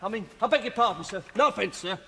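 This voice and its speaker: Meek, high-voiced clerk. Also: male voice, animated, quick pace, in a large hall, noisy background. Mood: timid, deferential. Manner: hesitant, apologetic, deferential. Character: meek, polite, timid male clerk, humbly deferential. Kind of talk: musical radio drama acting.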